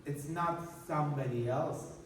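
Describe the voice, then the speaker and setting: A man's voice: fairly high pitch, unhurried, a little hiss or hum. A man from Armenia, giving an earnest, explanatory conference talk with slides and many restarts. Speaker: conference speaker. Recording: echoey hall, distant mic.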